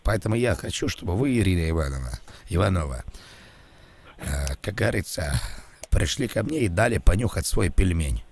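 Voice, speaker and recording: A man voicing a lecherous elderly college official in a prank call, speaking in a halting, formal, ingratiating way. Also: low voice, sing-song, a little mellow, pitched below the performer's own voice, exaggerated voice. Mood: unctuous, formal. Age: middle-aged, playing 54. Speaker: prank caller.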